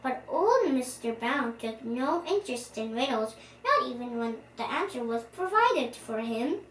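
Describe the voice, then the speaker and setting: A child reading a storybook aloud for young listeners as host, in an expressive, playful manner. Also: mid-range voice, unhurried. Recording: roomy, distant mic, faint noise.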